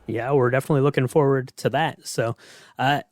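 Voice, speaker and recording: Mid-pitched male podcast host, dry close sound. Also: steady tone, fairly quick, darkish tone, no echo. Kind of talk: casual chat.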